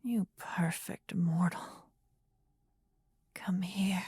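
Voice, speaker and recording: A woman voicing a possessive, adoring demon queen in a breathy, husky whisper for a fantasy ASMR roleplay, intimate and soothing with formal phrasing, close to the microphone. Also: fairly low pitch, drawn-out words, darkish tone, no room sound, heavily acted. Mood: possessive, hungry. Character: possessive, adoring demon queen, tender yet menacing female lover. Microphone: close ASMR microphone, dry.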